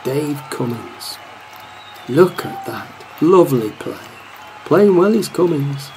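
A man's voice: a little high, animated, takes it slowly, darkish tone, slight background noise, poor audio. A man playing a wry, devoted football supporter who narrates a match in a radio play, in commentary style. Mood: fond admiration.

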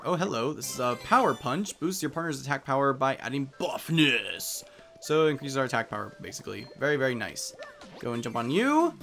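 A man's voice: medium pitch, fairly bright tone. A man giving casual commentary on a video game he is playing, explaining its mechanics as he goes. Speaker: let's play host. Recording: game music behind.

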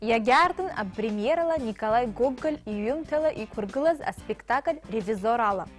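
A young woman presenting television news in a measured, crisp newsreader delivery and interviewing a guest, on a lapel mic in a TV studio. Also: on the high side, animated, soft background music. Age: young adult. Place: TV studio with screen backdrop.

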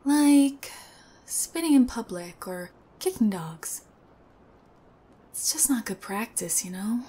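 A young woman acting an odd, sweet, sheepish thief in an ASMR roleplay, speaking softly and hesitantly with awkward pauses. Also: on the high side, slightly rough, heavily acted.

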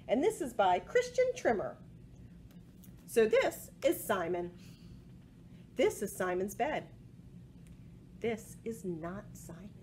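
A woman in her forties reading a picture book aloud to children in an expressive way, with asides about the pictures. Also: on the high side. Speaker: library storytime presenter. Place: small indoor room with bookshelves. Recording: home recording with faint background noise.

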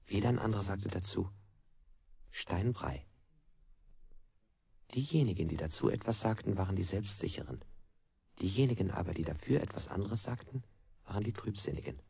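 Casting: an interrogated captive in a radio play, low husky voice, deliberate recitation, very dry sound. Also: male voice, lively intonation, dark tone, dry recording, heavily acted. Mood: measured recounting. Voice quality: husky, creaky. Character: bound, interrogated male prisoner recounting his ordeal. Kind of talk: 1960s German radio play acting, literary monologue.

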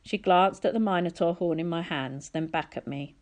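A woman's voice: fairly low pitch, moderate pace. A woman narrating a first-person story from a book as an audiobook reader.

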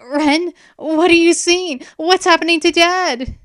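A woman's voice: very high-pitched, a little slow, a bit bright, voice pushed up. A woman voicing an earnest, anxious boy who studies magic, in an audiobook fantasy story. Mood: alarmed.

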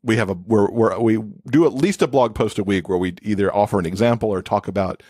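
A man with a fairly low voice talks casually as a podcast guest. His delivery is enthusiastic, and the recording is close and dry. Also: quick pace, a little mellow, no echo. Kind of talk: casual chat.

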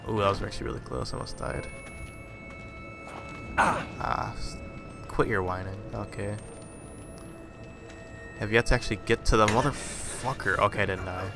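A man's voice: fairly low pitch, moderate pace, gravelly, pauses often, music in the background. A man playing a horror game, swearing and muttering casual asides, still slightly congested from a cold. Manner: profane, jumpy, muttering asides. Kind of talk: casual commentary.

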